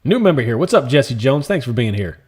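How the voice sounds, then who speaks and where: A man hosting a live stream, chatting casually and calmly while answering viewer comments in a soft, laid-back way. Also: mid-range voice, brisk, breathy edge. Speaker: livestream trading host.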